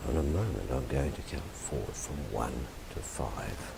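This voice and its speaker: A softly spoken Australian man with a deep voice, guiding a hypnosis session in soothing, measured phrases with pauses. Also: expressive, gravelly. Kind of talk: guided hypnosis session, ASMR. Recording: noisy, loud constant background hiss.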